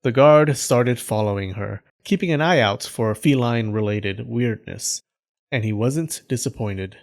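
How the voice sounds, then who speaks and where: A man narrating a scripted folktale in a humorous storytelling style, with deadpan joking asides. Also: mid-range voice, measured pace, vocal fry. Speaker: storyteller, channel host.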